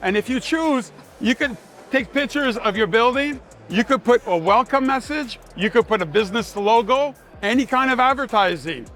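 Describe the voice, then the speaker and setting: High-pitched man pitching a product. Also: lively intonation, normal speed, a bit bright, soft background music. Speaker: sales manager presenting a product. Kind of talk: live product demonstration pitch. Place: trade show demonstration.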